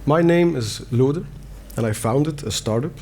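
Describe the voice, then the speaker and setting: A man's voice: medium pitch, normal speed, a little mellow, a little hiss or hum. Belgian man giving a conference talk. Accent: Belgian Dutch. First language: Dutch. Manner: earnest, plain wording with fillers and restarts. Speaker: conference speaker. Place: conference hall.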